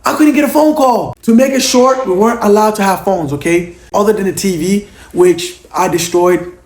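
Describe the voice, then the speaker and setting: Hoarse young man, very high voice. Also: normal speed, a little mellow. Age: young adult. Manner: emphatic, keeps tagging on "okay?". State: hoarse, losing his voice. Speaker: former reality show contestant. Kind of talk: casual Q&A vlog. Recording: roomy echo.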